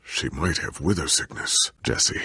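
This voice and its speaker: Husky, gravelly weakened warrior. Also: male voice, a bass voice, pushed and bright, no echo, studio quality, heavily acted. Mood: somber, worried. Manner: formal, grave diction with heavy pauses. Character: weary, weakened warrior hero, confused and ailing, noble and earnest. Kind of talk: dramatic video game voice acting.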